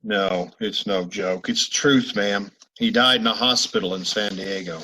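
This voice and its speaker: An actor giving voice to a terse, deadpan police sergeant in an old-style radio play. His voice sits low but has a bright edge, and every line comes out flat and clipped. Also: unhurried, slight background noise, low-quality recording. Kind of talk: 1950s radio drama acting.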